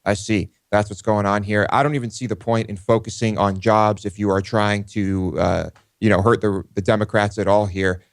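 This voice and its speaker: A man's voice: fairly low pitch, level tone, moderate pace, hardly any pauses, no echo. A man co-hosting, discussing politics in a casual, slightly hesitant conversational way. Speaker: talk show co-host.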